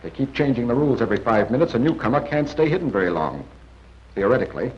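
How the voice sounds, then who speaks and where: A man playing a seasoned, assured intelligence briefing officer in a 1940s training film, giving measured, plain-spoken advice. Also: medium pitch, lively intonation, natural voice. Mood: assured, advising. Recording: muffled 1940s film soundtrack.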